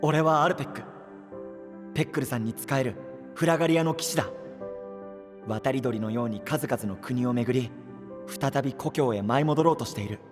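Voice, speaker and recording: An actor playing an eager, boyish young knight in an anime voice drama, cheerful and casual in manner. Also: male voice, mid-range voice, moderate pace, pauses often, over music, close to an everyday voice. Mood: solemn monologue. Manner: excitable, casual, rustic, boyish.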